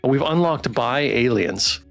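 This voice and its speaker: A man's voice: mid-range voice, animated, slow pace, gravelly. A man streaming a game playthrough, chatting casually, reading narration and thinking aloud. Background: music.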